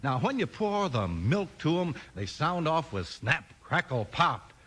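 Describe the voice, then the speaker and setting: Lively American male radio announcer. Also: fairly high pitch, a little slow, husky. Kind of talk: 1950s radio announcing, scripted ad and narration.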